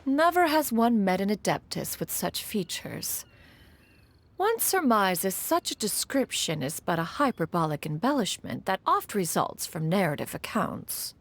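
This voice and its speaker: A stately, archaic crane spirit. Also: female voice, mid-range voice, sing-song, measured pace. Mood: aloof. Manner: formal, stately, archaic diction. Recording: game audio with faint music.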